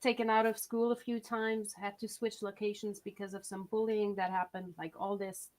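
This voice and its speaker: A woman's voice: medium pitch, flat delivery, normal speed, darkish tone. A young woman from Switzerland, a singer-songwriter chatting as a guest on a video-call interview, often restarting her sentences. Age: young adult. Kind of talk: casual interview chat.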